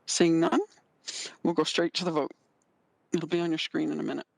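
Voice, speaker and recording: A woman chairing a council committee, running through votes and motions in a brisk, procedural way. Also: deep voice, fairly even intonation, creaky, no echo.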